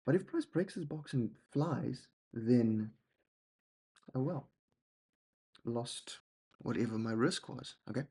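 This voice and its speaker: Man, gravelly, husky, rambling, self-deprecating, keeps asking "okay?", casual trading walkthrough. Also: medium pitch. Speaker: trading channel host.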